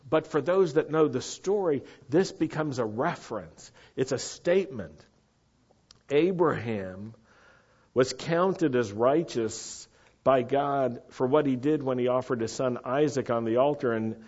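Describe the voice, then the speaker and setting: A man's voice: medium pitch, darkish tone. A man giving a teaching sermon to a live congregation, sometimes putting questions to the audience. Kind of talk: Torah-portion sermon. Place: meeting room with a live congregation. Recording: muffled lo-fi recording.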